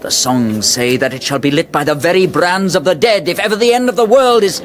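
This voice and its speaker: An actor playing a pompous, indignant hero in a comic fantasy radio drama, declaiming with haughty grandeur. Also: male voice, high voice, brisk, noisy background, natural voice. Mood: solemn, grandiose.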